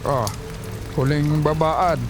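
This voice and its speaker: An actor playing an eerie, cold ghost driver in a Filipino horror audio drama, speaking slowly and haltingly. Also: male voice, fairly high pitch, fairly even intonation, over music. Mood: ominous.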